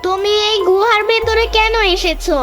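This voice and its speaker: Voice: very high voice, steady tone, normal speed, over music. A performer voicing a young, earnest village boy in a children's cartoon, plaintive and easily delighted.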